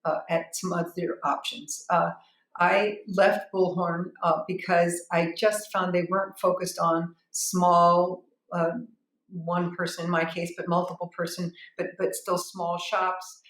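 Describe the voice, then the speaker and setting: Lower-voiced woman in an echoey room. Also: flat delivery, fairly bright tone. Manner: hesitant, halting, with repeated words. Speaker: business owner giving a software review. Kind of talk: unscripted spoken testimonial. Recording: roomy, echoing sound.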